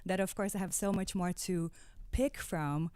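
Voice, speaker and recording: A woman's voice: lowish voice, steady tone, normal speed, darkish tone, smooth, dry recording. A woman from Brazil whose native language is Portuguese, a guest singer-songwriter talking conversationally in an interview.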